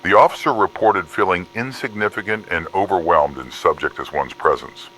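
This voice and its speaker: A man's voice: deep voice, moderate pace, sharp tone, slight background noise, low-quality recording. A man reading scripted horror case files in a formal, clinical manner. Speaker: narrator of fictional case files. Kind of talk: scripted analog horror document narration.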